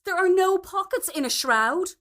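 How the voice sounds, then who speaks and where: A woman's voice: very high-pitched, lively intonation, moderate pace, fairly bright tone, voice pushed up. A woman voicing a snobbish, socially ambitious mother with a gushing, affected manner in a story reading. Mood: scornful. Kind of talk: storybook dialogue.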